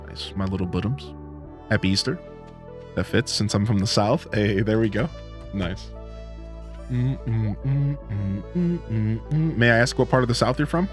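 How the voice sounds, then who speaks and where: A man's voice: fairly low pitch, normal speed, faint music behind. A man from Ohio with a Midwestern twang, chatting casually with live-stream viewers in a rambling, laid-back way. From Northeast Ohio, USA.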